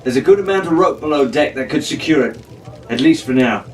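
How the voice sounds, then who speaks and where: A man's voice: on the high side, expressive, fairly quick, darkish tone, close to an everyday voice. A man voicing a cocky, bossy teenage pirate captain in an audiobook, with brash, commanding delivery. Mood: bossy, brisk. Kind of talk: audiobook character voice. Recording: roomy, noisy, distant microphone.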